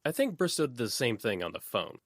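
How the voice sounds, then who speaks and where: Teenage girl voiced by a man, guarded, composed, measured, quietly confident, audiobook character, dry recording. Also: mid-range voice, big pitch swings, no echo, pitched above the performer's own voice. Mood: cool, firm.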